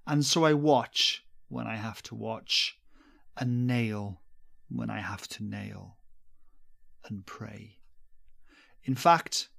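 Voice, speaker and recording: Male reader for dark short fiction, mid-pitched, unhurried and grave, measured delivery. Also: creaky. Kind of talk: scripted first-person horror narration.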